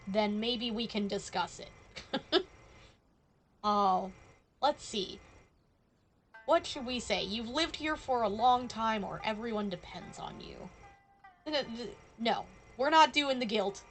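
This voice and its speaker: A woman doing casual live-stream game commentary in a playful, mock-regal manner. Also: a little high. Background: game music.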